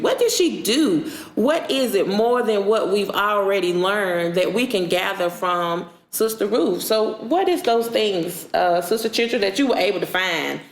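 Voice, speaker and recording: A woman leads a church discussion in an echoey room. Her pitch is average for a woman, and she speaks in a conversational, explanatory way, addressing viewers and fellow panelists.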